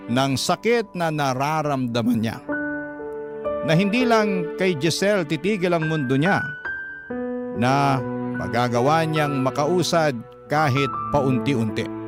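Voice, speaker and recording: Higher-voiced Filipino man narrating over loud music. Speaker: radio drama host and narrator. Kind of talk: emotive scripted first-person storytelling. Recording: loud music bed under the voice.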